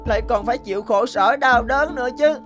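Woman as timid cartoon goat, whining, defeatist, complaining, animated fable, music behind the voice. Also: on the high side, a little fast, natural voice. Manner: whiny, complaining.

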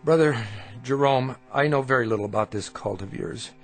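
A man's voice: medium pitch, normal speed, natural voice. An actor playing an ill man, weak with fever, who questions and accuses his hosts indignantly in a radio drama. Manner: probing, indignant, sharp questions. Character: ill, defiant male traveler confronting monks. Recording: radio play with music behind.

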